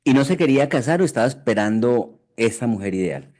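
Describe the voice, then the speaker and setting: A man's voice: medium pitch, animated, brisk, darkish tone. A man with a Colombian accent, a radio news host in a studio, asking short, familiar questions of his guest. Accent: Colombian Spanish. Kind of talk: radio interview.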